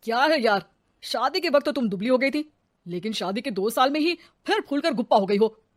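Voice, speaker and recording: An irritated, belittling young husband in a moral story, voiced by a woman. The voice is fairly high and carries a mocking, complaining manner. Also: lively intonation, brisk, lightly acted.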